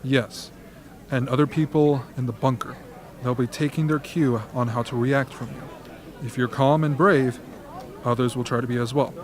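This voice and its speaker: Medium-pitched man playing genial strategist, smooth, instructive, pragmatic, radio play. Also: fairly even intonation, normal speed, a faint crowd, close to an everyday voice. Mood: advising. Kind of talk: amateur radio drama acting.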